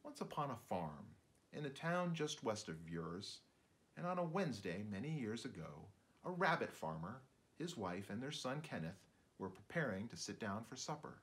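A man's voice: medium pitch, sing-song, normal speed, a bit bright. Storybook shrew narrator acted by a man, chatty, fussy, forgetful, swooping intonation, talks straight to the listener. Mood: chatty, playful. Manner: conversational, digressive, addresses the listener directly. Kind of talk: storybook narration, read aloud.

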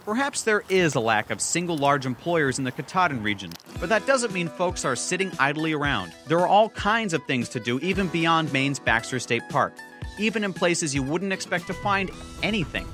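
A man's voice: a little high, sing-song, normal speed, over music. A man narrating a travel news feature in scripted voice-over, with wry, dry humor. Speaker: travel show host and narrator.

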